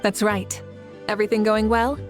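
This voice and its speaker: A woman voicing a confident, caring leader in an anime game, speaking in a measured, earnest way. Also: medium pitch, flat delivery, faint music behind, close to an everyday voice. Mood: composed.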